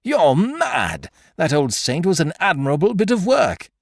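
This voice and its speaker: A man's voice: medium pitch, huge pitch swings, moderate pace, darkish tone, no room sound, studio quality. A man voicing an indignant middle-aged male magistrate, flustered and protesting. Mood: outraged.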